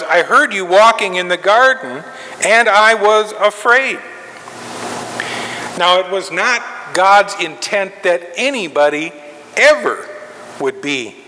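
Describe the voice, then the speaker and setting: A middle-aged male pastor delivers a sermon at a pulpit, slow and emphatic, leaving long pauses between phrases. His voice sits high and bright. It carries through a large church hall with a long reverb and a faint hum of room noise. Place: large wood-paneled church sanctuary, at a pulpit. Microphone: far camera, pulpit mic.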